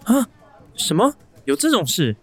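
A man's voice: high-pitched, big pitch swings, a little slow, fairly bright tone, heavily acted. An actor with a Taiwanese Mandarin accent playing a startled, obliging older office man in a radio drama. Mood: flustered. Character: flustered, obliging older male office contact.

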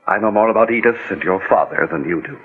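A man's voice: on the low side, fast talker, husky, phone-quality sound, soft background music. A man playing a defensive, insistent and agitated suspect in 1940s radio drama style. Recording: band-limited old 1940s radio recording.

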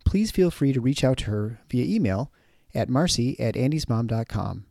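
A man's voice: medium pitch, animated, moderate pace, gravelly, mellow, no room sound, studio quality. A man reading a scripted podcast outro. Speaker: podcast announcer.

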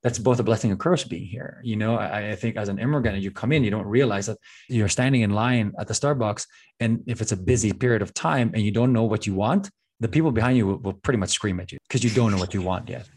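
A man from the Philippines, a guest on a talk show. His voice sits on the low side, and he speaks earnestly and reflectively. He often circles back, repeating words while he searches for what to say. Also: fast, a little mellow, breathy edge. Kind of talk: reflective casual conversation.

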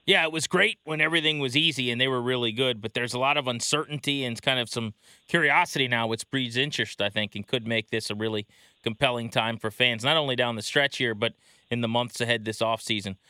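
A man's voice: medium pitch, fast talker, bright, forceful tone, smooth, dry recording. A man hosting a sports podcast in a conversational interview style, close on a studio microphone.

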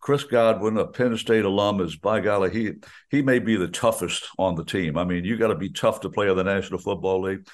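A man's voice: low voice, fairly quick. An older man, a veteran radio announcer, chatting in a folksy, enthusiastic way and often restarting his sentences. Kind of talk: casual chat on a radio show.

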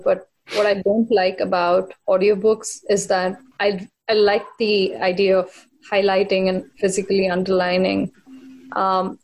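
A woman's voice: mid-range voice, gravelly, a little mellow, rough recording. A woman chatting casually as a participant in a group discussion, asking questions in a conversational, inquisitive way.

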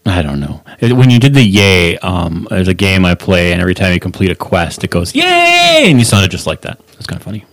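A man's voice: sits low, huge pitch swings, fairly quick, hardly any pauses, fairly bright tone, no room sound, clean studio sound. A man hosting a podcast, chatting casually and chuckling often. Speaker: podcast host. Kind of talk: casual chat.

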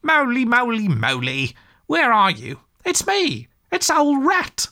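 A man voicing a kindly, brisk and plucky male water rat in a storybook, chatty and reassuring. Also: high-pitched, sing-song, fairly bright tone, pitched above the performer's own voice, exaggerated voice. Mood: calling cheerfully. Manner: chatty, reassuring, old-fashioned British turns of phrase. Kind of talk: storybook character voice.

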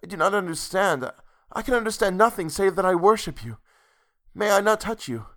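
Male lover, acted by a man reading, troubled, ardent, raised pitch, breathless, impassioned pleading, romantic storybook drama. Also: expressive, a little fast, a bit bright. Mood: desperate, adoring.

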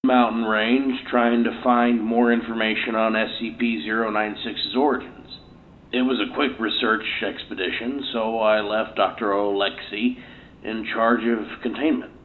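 An actor playing a proud, defensive research scientist answering questions in a curt, self-assured manner in an audio play. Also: male voice, fairly low pitch, little pitch movement, moderate pace, natural voice. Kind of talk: audio play interview acting. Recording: tinny phone-like recording, faint noise.

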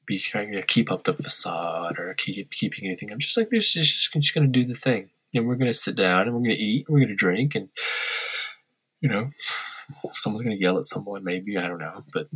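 A man's voice: on the low side, lively intonation, a little fast, a bit bright, husky, low-quality recording. A man in a candid interview, talking reflectively with wry humor and occasional bluntness. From Korea. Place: lives in Tokyo.

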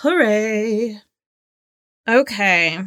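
A woman co-hosting a trivia game with casual, playful banter and a giggly, excitable manner. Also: mid-range voice, extremely slow, no room sound, studio quality. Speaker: trivia game show co-host and contestant.

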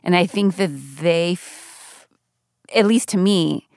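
A low-pitched middle-aged woman with a gravelly edge that still sounds smooth and resonant. She talks candidly and reflectively as an interview guest, laughing easily. She is close to a studio mic in a dry room. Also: slow, a little mellow, no room sound. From United States. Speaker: guest, radio journalist. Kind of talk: conversational interview.

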